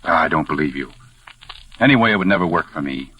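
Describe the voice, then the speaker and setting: An actor playing a bitter young wounded war pilot, brooding and intense, in a 1940s radio drama. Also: male voice, very deep voice, lively intonation, fast talker, bright, forceful tone, heavily acted, poor audio. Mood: bitter, despairing. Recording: old 1940s radio recording, faint noise.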